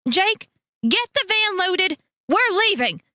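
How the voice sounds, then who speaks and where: This is a furious, jealous grown woman, voiced by a female storyteller. She speaks high and shrill, spitting out demands and refusals in a dry, close recording. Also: very animated, drawn-out words, dry recording, heavily acted. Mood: outraged. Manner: sharp, demanding, raises her voice.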